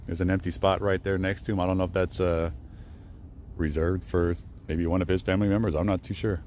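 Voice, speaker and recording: A deep-voiced young man records a casual travel vlog outdoors in a cemetery. He rambles with plenty of fillers and restarts, keeping his tone hushed and respectful. Faint outdoor noise sits behind the camera-mic sound. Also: flat delivery, fairly quick. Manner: rambling, with many fillers and self-corrections. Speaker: travel vlogger. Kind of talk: casual vlog monologue at a graveside.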